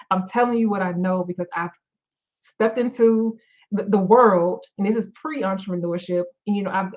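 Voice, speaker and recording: A woman's voice: medium pitch, phone-quality sound. Tired woman coach losing her voice. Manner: candid, conversational, many fillers and restarts. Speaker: life coach. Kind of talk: live-stream motivational talk.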